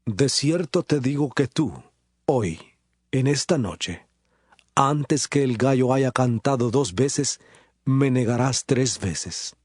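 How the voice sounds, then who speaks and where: A man playing a grave, authoritative male religious teacher in a dramatised scripture audiobook, speaking in a solemn, measured way. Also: medium pitch, lively intonation, a little mellow, breathy edge, dry recording, clean studio sound. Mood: grave certainty.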